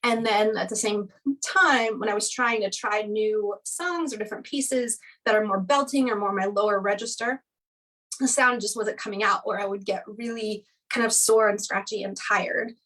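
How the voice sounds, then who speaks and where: A woman's voice: medium pitch, moderate pace, a bit bright, far from the mic, low-quality recording. A woman in her thirties, a guest chatting casually in an interview, rambling with lots of "like" and light laughs.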